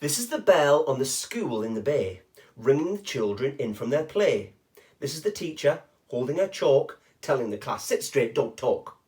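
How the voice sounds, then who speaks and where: Male storybook reader, fairly high voice, very lively intonation, chatty with the kids. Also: normal speed. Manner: animated, with short chatty asides to young listeners. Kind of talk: expressive read-aloud of a children's rhyming picture book.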